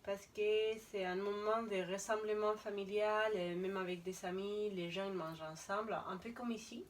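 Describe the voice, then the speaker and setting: A woman's voice: medium pitch, steady tone, normal speed, distant mic. A woman in her thirties from Spain answers interview questions in a chatty, casual way with lots of hesitation fillers. She sits a bit back from the mic, with faint ambient noise behind her. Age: 30s. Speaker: interview guest. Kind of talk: casual conversation.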